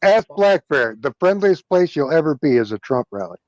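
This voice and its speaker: A man's voice: a little high, big pitch swings, normal speed, lo-fi sound. A man on a political panel, talking bluntly and emphatically in casual chat.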